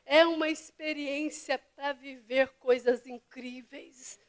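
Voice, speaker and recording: A woman pastor delivering a fervent sermon to her church, dropping to hushed whispers and rising to impassioned cries. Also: high voice, steady tone, slow pace. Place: church service.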